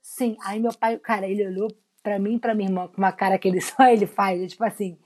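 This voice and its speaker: A woman's voice: mid-range voice, fairly even intonation, fast talker, darkish tone. A young woman with a Rio de Janeiro Brazilian accent, chatting casually and telling anecdotes in slangy speech, acting out what people said. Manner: slangy storyteller, acts out quoted lines. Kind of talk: casual chat, animated anecdotes.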